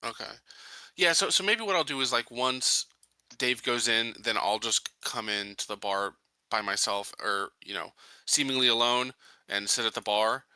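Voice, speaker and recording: A man's voice: mid-range voice, moderate pace, bright, forceful tone, no room sound. A man casually talking through plans as a player in a tabletop roleplaying game. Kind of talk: casual planning discussion.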